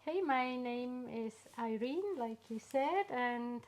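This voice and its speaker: A middle-aged woman with a Swiss accent, English not her first language. She talks slowly, lingering on pauses and fillers as she shares her story. Her voice sits a little high. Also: animated. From Switzerland. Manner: unhurried, warm storytelling with frequent pauses and fillers. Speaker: artist guest. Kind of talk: casual interview.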